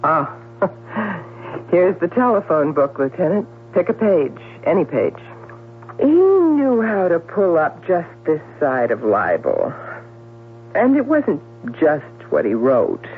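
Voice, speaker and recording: A woman's voice: lowish voice, huge pitch swings, slow pace, heavily acted. Emphatic, swooping shrewd newspaperwoman. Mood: brisk, sardonic. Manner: emphatic, articulate, persuasive. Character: shrewd, self-possessed newspaperwoman covering up a crime. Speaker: actor. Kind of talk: 1970s radio drama acting. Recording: narrowband old radio broadcast with hum.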